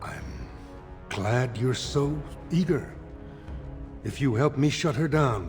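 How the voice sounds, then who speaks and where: Solemn aging nobleman, lowish pitch, courtly measured speech, earnest, fantasy game with faint music. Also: a little mellow. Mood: resolute. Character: dignified elder lord seeking a throne, earnest.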